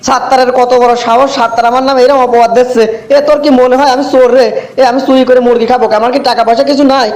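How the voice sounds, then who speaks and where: A man's voice: very high voice, level tone, very fast, darkish tone. A man voicing a proud, gullible, hot-headed villager in a comic cartoon, blustering with outrage in a rural Bangladeshi Bengali accent. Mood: outraged.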